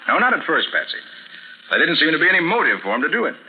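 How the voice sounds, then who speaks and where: An actor playing a shrewd, self-assured private detective in a 1940s radio drama. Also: male voice, on the high side, wildly swooping pitch, racing. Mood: explaining, assured. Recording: tinny, noisy 1940s radio recording.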